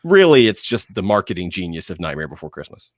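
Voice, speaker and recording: A man with a fairly low voice, recorded dry and close. He speaks as a panelist in casual chat, haltingly, circling back over his phrases as he thinks aloud. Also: big pitch swings, no room sound. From lived in Georgia, US.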